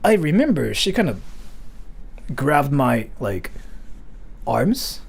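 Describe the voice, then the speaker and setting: A man's voice: medium pitch, sing-song, slow, vocal fry, breathy edge. A man from Japan, rambling through a casual story on a livestream with lots of filler words. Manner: rambling, heavy use of "like" fillers. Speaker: streamer.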